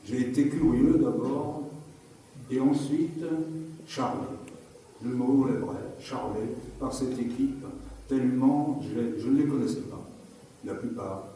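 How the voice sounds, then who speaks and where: A retired teacher in his seventies speaking at a public meeting, wry and digressive, pausing to find words. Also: medium pitch, warm tone. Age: 70s. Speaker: retired maths teacher, candidate. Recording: echoey hall, mic far off, faint noise.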